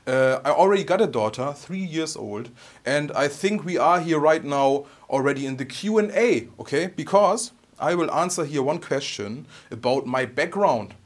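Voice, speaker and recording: A man in his thirties with a heavy German accent, who grew up in Germany, talking casually and haltingly as the host of a personal Q&A video. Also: a little high, expressive. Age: 30s. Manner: soft-spoken, halting, tags sentences with "okay?". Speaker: guitar channel host.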